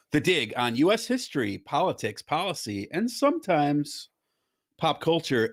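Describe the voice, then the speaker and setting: A man hosting a casual podcast, joking around and telling stories with lots of energy. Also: on the high side. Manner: joking, animated storytelling. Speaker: podcast host.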